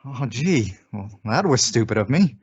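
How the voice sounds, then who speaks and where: An actor playing a well-meaning, befuddled husband and office worker in an old radio comedy. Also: male voice, medium pitch, sing-song, normal speed, husky. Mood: flustered, vague. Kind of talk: 1950s radio sitcom acting. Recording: dull vintage radio recording.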